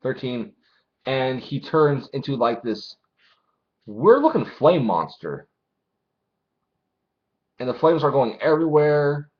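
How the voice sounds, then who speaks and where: A man narrates a comic in a casual, unpolished read-along, dropping swears as he goes. His voice sits at an average pitch, and the recording is dull and lo-fi. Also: sing-song, slow pace, long pauses. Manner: informal, swearing, acts out dialogue. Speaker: manga reviewer reading chapters aloud.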